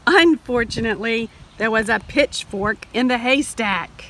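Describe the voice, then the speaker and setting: Slow, high-voiced woman reading to children. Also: bright, forceful tone, a little hiss or hum. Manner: explanatory, addressing young listeners directly. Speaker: storybook reader for children. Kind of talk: read-aloud picture book narration.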